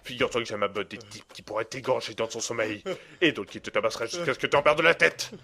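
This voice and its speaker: A man playing a cold, menacing blackmailer in an audio drama, speaking in a smooth, condescending and formal way. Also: medium pitch, quick pace, bright, forceful tone. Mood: menacing, contemptuous. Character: cold, menacing male blackmailer and hacker, smugly in control. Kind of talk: amateur audio drama acting.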